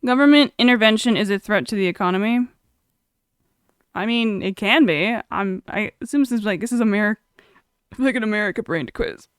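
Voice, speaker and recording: A woman's voice: medium pitch, a little fast, clean tone, dry recording, clean studio sound. A young woman streamer chatting casually, reading chat aloud and laughing often.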